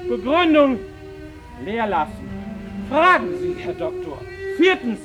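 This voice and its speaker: High-pitched, obsessive old clinic patient. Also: male voice, heavily acted, low-quality recording. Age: older. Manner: clipped fragments, as if dictating to himself. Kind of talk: radio drama interior monologue.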